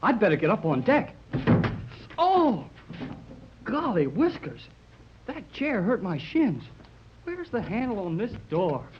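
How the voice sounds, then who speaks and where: An actor playing an eager, curious boy radio operator with excitable exclamations in a 1930s radio serial. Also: high-pitched, takes it slowly, pauses often, slight background noise, exaggerated voice. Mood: alarmed, excited. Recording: dull, muffled 1930s radio recording.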